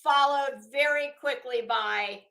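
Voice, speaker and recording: A woman's voice: high-pitched, takes it slowly, vocal fry, sharp tone, roomy sound. A middle-aged woman hosting a casual livestream, rambling through stories in a sassy, wry, profane way. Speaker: livestream host.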